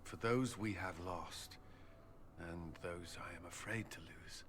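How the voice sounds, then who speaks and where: An actor playing a devout, weary military commander in a video game, solemn, restrained and formal. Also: male voice, low voice, slow, fairly bright tone, slight background noise. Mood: sober, resolute. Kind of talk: earnest video game drama.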